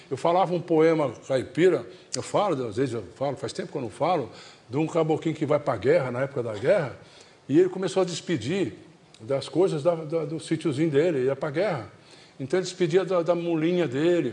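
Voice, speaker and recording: A man's voice: fairly high pitch, a little mellow. A man in his seventies with a Brazilian Portuguese accent, hosting a casual, folksy chat in a rambling, repetitive way full of diminutives. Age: 70s. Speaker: television show host. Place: TV studio stage before an audience. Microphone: lapel mic.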